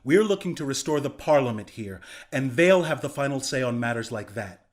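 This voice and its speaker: An actor playing an earnest, friendly young rebel leader in a video game, speaking in a sincere, conversational way. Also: male voice, mid-range voice, expressive, fairly quick, lightly acted. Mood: wary, negotiating. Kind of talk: video game character dialogue.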